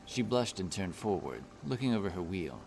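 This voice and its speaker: A man's voice: low voice, very animated, normal speed, vocal fry, darkish tone, faint music behind. A man giving scripted narration of a fantasy story as its narrator.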